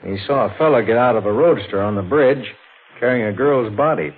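An actor playing a matter-of-fact, folksy American state police officer with easygoing authority in a 1940s radio drama. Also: male voice, medium pitch, expressive, a little fast, natural voice. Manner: plain-spoken, easygoing authority. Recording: tinny, noisy old radio recording.

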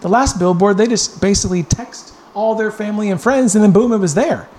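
A man co-hosting a casual chat show, eager and quick to agree and jump in. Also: sits high, darkish tone, a little hiss or hum. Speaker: podcast co-host.

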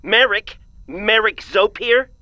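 A woman's voice: mid-range voice, slow pace, bright, forceful tone, dry recording, lo-fi sound. A woman playing a cranky, scornful neighbor calling in to a show in an audio drama, with a sarcastic, colloquial delivery. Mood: brisk.

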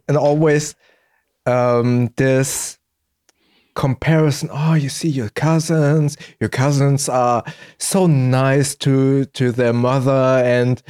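A man's voice: medium pitch, darkish tone. A man raised in Germany, hosting a podcast and asking questions in a thoughtful, hesitant way with many fillers. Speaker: podcast host. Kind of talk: casual conversation.

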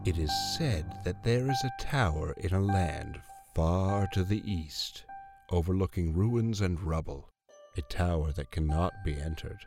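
A man's voice: deep voice, lively intonation, a little slow. A man narrating a fairy tale in a solemn, theatrical storytelling manner. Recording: loud music under narration.

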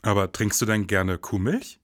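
Low-voiced man co-hosting a podcast. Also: moderate pace, no room sound. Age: 40s. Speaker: podcast co-host. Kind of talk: podcast conversation with scripted narration.

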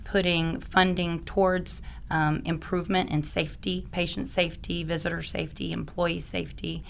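Slightly raspy woman, low voice. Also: little pitch movement, moderate pace, slight background noise. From Oklahoma, US. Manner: enthusiastic, many ums. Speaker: guest, insurance risk advisor. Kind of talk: conversational interview.

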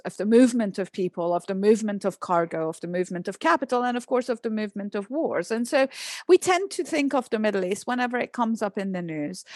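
Woman author, academic, fairly high voice, dry close sound. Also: no room sound. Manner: articulate, lively, many restarts. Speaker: author and Middle East scholar. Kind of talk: academic interview answer.

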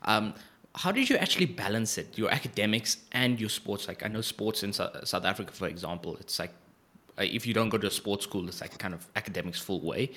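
A man hosting a podcast, reading a scripted intro and then asking questions in an effusive, flattering way with some hesitations. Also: fairly low pitch, fairly quick. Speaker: podcast host.

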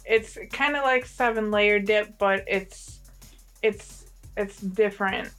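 A woman's voice: mid-range voice, slow pace, a bit bright, soft background music. A woman chatting casually in a hesitant way. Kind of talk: casual chat.

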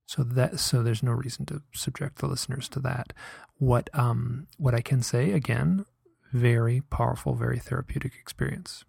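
Brief: earnest soft-spoken man, gravelly husky tone, dry close sound, musing monologue. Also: medium pitch, moderate pace, no echo. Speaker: podcaster recounting a hypnosis session. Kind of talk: reflective personal monologue.

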